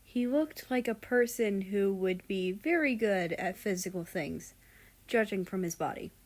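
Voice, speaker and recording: A woman's voice: mid-range voice, unhurried. A woman reading a fan fiction story aloud as scripted narration.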